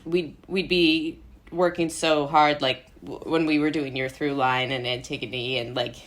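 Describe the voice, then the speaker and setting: A woman's voice: low-pitched, steady tone, a little slow. An American woman from Brooklyn, a podcast guest, chatting casually in a rambling, self-interrupting way. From Brooklyn, New York, United States. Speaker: interview guest, playwright and actor. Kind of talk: casual chat.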